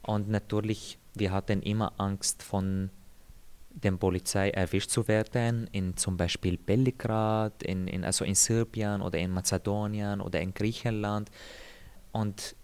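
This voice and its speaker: Low-voiced Syrian man, measured and thoughtful. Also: flat delivery, a little mellow, clear, resonant tone. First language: Arabic. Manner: thoughtful, measured, pauses and repeats words. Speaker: interviewee. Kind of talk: calm personal account.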